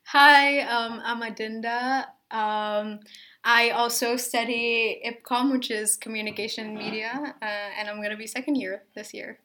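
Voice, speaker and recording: A young university student from Indonesia chats as a podcast guest. Her voice sits a little high. She talks in a relaxed way, with plenty of fillers. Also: unhurried. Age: young adult. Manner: chatty, with many fillers like "um" and "like". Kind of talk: casual chat.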